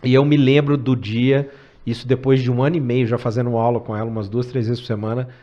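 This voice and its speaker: A man's voice: mid-range voice, fast, highs cut off. A man in his forties, a podcast guest talking casually, often adding cara and né. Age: 40s. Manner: relaxed, says cara and né often. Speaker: podcast guest, tech executive.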